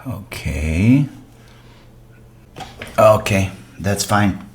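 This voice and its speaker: A man casually narrating a workbench repair, half muttering to himself in short phrases with pauses between them. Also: on the low side, animated, slight background noise. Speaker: electronics repair host.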